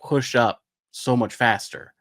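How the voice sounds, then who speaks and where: A man's voice: mid-range voice, fairly even intonation, slow, fairly bright tone, no room sound, studio quality. A man hosting an interview, chatting enthusiastically and rambling with lots of fillers. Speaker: interview host. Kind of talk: casual interview chat.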